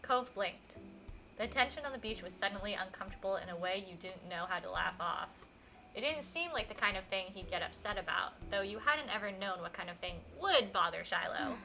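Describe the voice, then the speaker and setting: A woman livestreaming a visual novel, chatting casually and reading the story aloud in an animated way, reacting out loud. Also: mid-range voice, brisk, creaky, poor audio. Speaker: streamer playing a visual novel. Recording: faint music behind.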